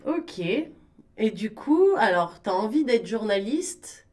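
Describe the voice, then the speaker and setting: A woman with a Parisian French accent hosts a radio interview, talking in a chatty, friendly way. Her voice sits at a middle pitch for a woman. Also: very animated, takes it slowly, darkish tone. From France. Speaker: radio host.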